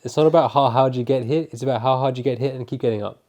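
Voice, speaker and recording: A man's voice: medium pitch, steady tone, rapid-fire, a little mellow, highs cut off. A young man co-hosting a casual group podcast. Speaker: podcast co-host.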